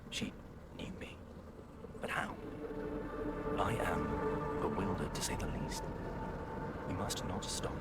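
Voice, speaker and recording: An actor plays an earnest man in a fantasy game, searching for his lost sister. His speech is formal and articulate with a lively rise and fall, set against very loud background noise. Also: mid-range voice, natural voice. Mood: bewildered. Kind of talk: scripted fantasy game dialogue. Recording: very loud game ambience behind voice. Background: loud ambience and noise.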